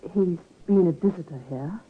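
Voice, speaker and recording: An actress playing a proper, guarded nurse with formal, precise speech, in old-time radio style on a tinny recording. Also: female voice, on the low side, moderate pace, breathy edge. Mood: guarded, composed.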